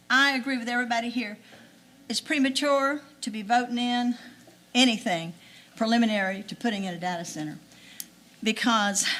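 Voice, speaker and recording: A woman's voice: fairly high pitch, fairly bright tone. A woman pushing eighty, giving a folksy, outspoken public comment at a county council meeting. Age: older. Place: council chamber.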